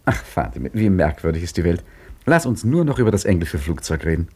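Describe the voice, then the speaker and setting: A man's voice: sits low, very animated, a little fast, mellow, slightly rough, heavily acted. An actor playing Hakim, a brooding, idle husband who narrates his story with wry reflection in a 1950s radio play. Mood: tender. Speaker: narrator and lead character. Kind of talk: 1950s radio drama acting.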